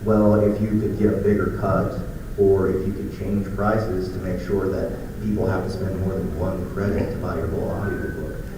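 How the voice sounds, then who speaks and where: A man's voice: sits low, little pitch movement, normal speed, noisy background. A man giving an informal conference presentation as an audio producer, conversational with joking asides, recorded from a distance in a large echoey room.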